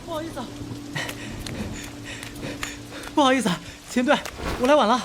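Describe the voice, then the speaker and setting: A woman playing a cheerful, bumbling young police rookie in an animated crime drama, sheepish, eager and chatty. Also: high-pitched, moderate pace, background noise.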